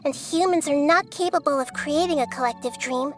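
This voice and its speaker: A woman voicing a small, gentle girl goddess who explains things in a measured, earnest way in a video game. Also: high-pitched, close to an everyday voice. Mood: pondering. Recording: music behind the voice.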